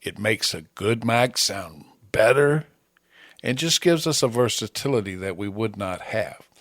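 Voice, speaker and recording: A man with a fairly low voice presents a tutorial in a plain, measured way. He speaks in short, clear statements close to a podcast microphone. The sound is quite dry, with little room around it. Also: animated, fairly bright tone, dry recording. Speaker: presenter of an amateur radio tutorial. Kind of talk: scripted tutorial narration. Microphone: close broadcast podcast microphone.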